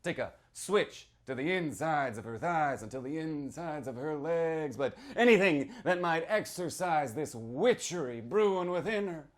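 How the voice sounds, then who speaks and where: Guy acting as folksy american small town storyteller, creepy witch tale, theatrical, voice kinda high and all over the place. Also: lively intonation, a little slow, few pauses. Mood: gossipy, ominous. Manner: folksy, conspiratorial, dramatic, punctuated with questioning tags. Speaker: author reading from a novel. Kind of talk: theatrical in-character reading of horror fiction.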